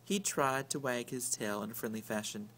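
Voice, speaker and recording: A man reading a children's picture book aloud with deliberate, dramatic storytelling. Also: mid-range voice, a bit bright. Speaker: story reader. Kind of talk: expressive picture-book narration.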